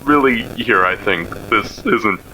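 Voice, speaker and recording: Male actor playing frightened, tearful man in horror audio drama, lowish voice, stammering into a payphone voicemail with clear background noise. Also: expressive, sharp tone, poor audio. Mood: frightened, weary. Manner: halting, stammering, repeating himself. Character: terrified, tearful missing man pleading in a voicemail.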